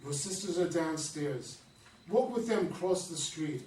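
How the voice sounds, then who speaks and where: A man's voice: a little high, lively intonation, measured pace, darkish tone. A man playing a cold domineering militant commander. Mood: commanding. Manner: commanding, curt, preaching. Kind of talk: readers theatre play reading. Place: stage in a theatre. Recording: roomy, distant, faint noise.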